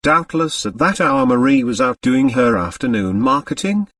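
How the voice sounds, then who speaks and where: A man's voice: mid-range voice, sing-song, moderate pace, a bit bright, dry recording, studio quality, recorded from a distance. A man playing a suave French count. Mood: unruffled. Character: composed, sly French count, quietly self-satisfied.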